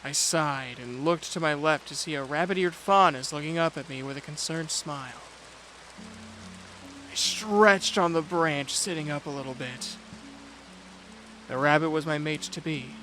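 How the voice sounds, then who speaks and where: Audio drama lead: dutiful young prince and heir played by a man, reserved and measured, narrating his own tale over music. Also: a little high, pushed and bright. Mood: brooding, reflective. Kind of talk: first-person audio drama narration with dialogue. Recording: music and rain behind the voice. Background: music and rain.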